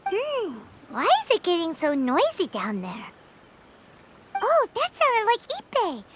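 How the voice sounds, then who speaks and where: A woman's voice: very high voice, huge pitch swings, slow, very noisy, full character voice. A woman voicing a tiny, cheerful, childlike fairy guide in a video game, chirpy and speaking of herself in the third person. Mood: puzzled.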